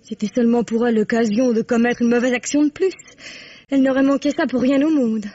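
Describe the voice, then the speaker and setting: A woman's voice: on the high side, fairly even intonation, fast, warm tone, dry recording, natural voice. An actress playing a proud, outspoken young woman suspect in a radio mystery, frank, scornful and emphatic. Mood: scornful, bitter. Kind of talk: French radio mystery drama acting. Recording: dull, dry, band-limited radio drama recording.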